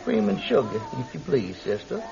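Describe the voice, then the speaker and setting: An actor playing a genial, pious older traveling preacher in a radio drama, with courtly, unctuous phrasing. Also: male voice, mid-range voice, sing-song, moderate pace, creaky. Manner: courtly, unctuous, quaintly formal. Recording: slightly dull radio recording.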